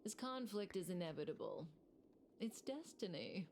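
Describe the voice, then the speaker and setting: A woman's voice: on the low side, very animated, moderate pace, darkish tone, slight background noise. An actress playing a poised, teasing woman villain in a fantasy video game, with composed, fatalistic delivery. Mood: calm, ominous. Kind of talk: video game character acting.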